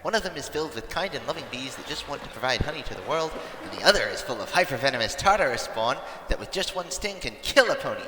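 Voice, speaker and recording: A man voicing a manic, cheerful cartoon pony commentator with a casually sinister streak and chirpy, over-excited announcing. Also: medium pitch, fast, few pauses, slight background noise. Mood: cheerfully sinister. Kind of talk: cartoon parody race commentary. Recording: long echoing reverb, music behind.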